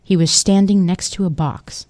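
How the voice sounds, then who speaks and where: A woman's voice: on the low side, fairly even intonation, normal speed, darkish tone. A woman giving scripted story narration for an audio drama.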